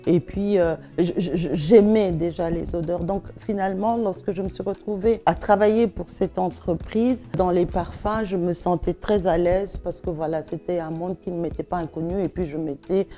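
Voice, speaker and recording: A woman's voice: on the low side, steady tone, normal speed, few pauses, dark tone, soft background music. A middle-aged woman answering interview questions conversationally, hesitating and repeating words as she searches for phrasing. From Belgium, of West African family. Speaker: interview guest, perfume shop owner.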